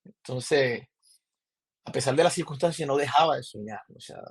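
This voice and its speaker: A man's voice: medium pitch, normal speed, slightly rough. A man in his thirties with a Maracaibo Venezuelan accent, a film director telling his story as a podcast guest, rambling with many restarts and fillers. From Zulia, Venezuela. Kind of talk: casual interview storytelling. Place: remote call from abroad.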